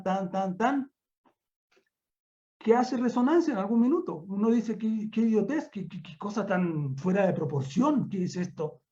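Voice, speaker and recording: A man's voice: sits high, mellow. An older man with a Chilean accent lecturing off the cuff as a professor, emphatic and repeating words for weight. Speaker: university professor, guest speaker. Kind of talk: extemporaneous online academic lecture. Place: remote online conference call. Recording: dull lo-fi online call audio.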